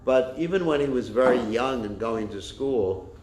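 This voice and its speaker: A man with a loud voice giving a religious class, telling a story with many fillers and restarts, recorded without a microphone. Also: mid-range voice, animated, normal speed. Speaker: spiritual teacher. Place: class room with a kitchen nearby. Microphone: no microphone, room recording. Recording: echoey room.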